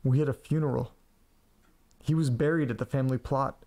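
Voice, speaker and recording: An actor playing a quirky, scatterbrained man in an audio drama, talking in a casual, halting way. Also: mid-range voice, steady tone, mellow, close to an everyday voice. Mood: grave, haunted. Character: quirky, scatterbrained man living with pets and old letters. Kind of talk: audio drama acting, first-person narration and casual dialogue.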